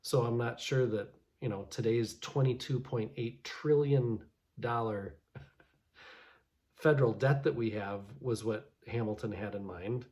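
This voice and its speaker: A man's voice: medium pitch, measured pace, darkish tone. A man giving a casual devotional reflection as a pastor, talking loosely with many restarts. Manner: conversational, many restarts and fillers.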